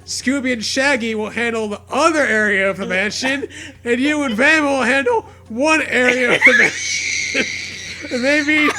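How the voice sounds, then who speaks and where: A man co-hosting a comedic fan fiction reading, profane, sarcastic and excitable, with laughter often in his delivery. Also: very high voice, normal speed, over music, poor audio. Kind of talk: comedic read-aloud with riffing.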